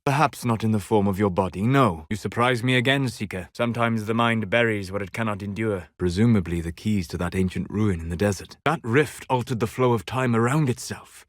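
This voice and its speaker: A scholarly male elven mage from a video game, acted by a man. He is melancholy and self-possessed, choosing formal words with deliberate care. The sound is dry, with no room around it. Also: fairly low pitch, no room sound, close to an everyday voice. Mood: grave, measured. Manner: measured, deliberate, formal phrasing. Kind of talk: scripted video game character dialogue.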